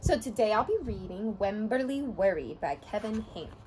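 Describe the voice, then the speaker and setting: A young adult woman reading a children's picture book aloud, with expressive storytelling. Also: medium pitch, normal speed, slight background noise.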